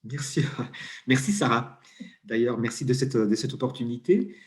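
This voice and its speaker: A middle-aged man with an Italian accent, speaking French as an interview guest and pausing often with fillers and restarts. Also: mid-range voice. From Italy. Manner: hesitant, many fillers and restarts. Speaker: interview guest, coach and HR consultant. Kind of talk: conversational interview.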